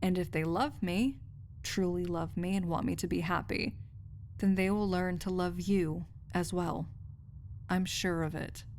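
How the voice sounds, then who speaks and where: Casting: woman as dreamy, wry research assistant in love, soft and unhurried, romantic audio roleplay, light hiss of background noise. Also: lowish voice. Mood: earnest, reassuring. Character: lovestruck female research assistant, tender, wry, contentedly dreamy.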